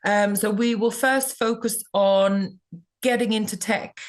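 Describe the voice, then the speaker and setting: A woman's voice: medium pitch, steady tone, unhurried. A woman recruiter hosting a webinar over a video call, friendly and with many fillers.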